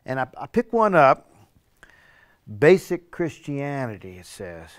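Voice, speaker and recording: A man performs a streetwise, remorseful burglar in a one-man stage play. He tells his story conversationally in a mid-pitched voice, tripping over and repeating words as he goes. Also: very animated, a little slow. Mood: sly, conspiratorial.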